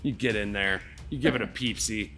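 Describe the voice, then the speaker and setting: A man's voice: fairly low pitch, brisk, fairly bright tone, soft background music. A man improvising narration as the game master of a live tabletop game, casual, slangy and jokey.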